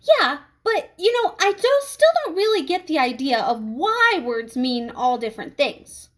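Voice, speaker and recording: A woman's voice: high-pitched, very animated, measured pace. A woman reading a children's storybook as a sly, eager schoolboy with a hesitant, questioning manner. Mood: questioning, insistent. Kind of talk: children's storybook read-aloud.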